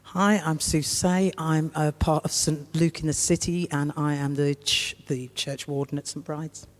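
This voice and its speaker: A woman's voice: low voice, steady tone, unhurried. A woman who is a church warden, answering on a panel in an earnest, plain-spoken way. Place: large parish church. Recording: long reverb of a big church.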